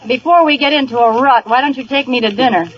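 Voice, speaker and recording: A woman's voice: high-pitched, fast, lightly acted. Woman playing a brassy wisecracking dame. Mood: flirtatious. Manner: sassy, clipped wisecracks. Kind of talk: 1940s radio comedy acting. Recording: muffled 1940s radio recording, faint hiss.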